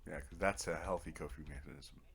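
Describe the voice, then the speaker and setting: A man's voice: extremely low pitch, a little fast, vocal fry, a bit bright, slightly rough. A man giving casual let's play commentary, rambling to himself in a wry, self-deprecating way. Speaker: gaming video host.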